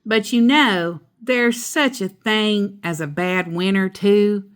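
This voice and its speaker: Woman as a measured, sensible mama bear. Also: medium pitch, smooth, voice pushed up, lightly acted. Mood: patient advice. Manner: measured, instructive. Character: sensible, patient mother bear giving gentle moral lessons.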